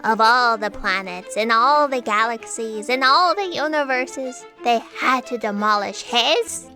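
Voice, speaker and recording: A woman's voice: on the high side, measured pace, pushed and bright, faint music behind. A woman playing an earnest, flustered and deferential fact checker in a comedic audio drama. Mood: earnest, alarmed. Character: eager, flustered female fact checker, junior and anxious.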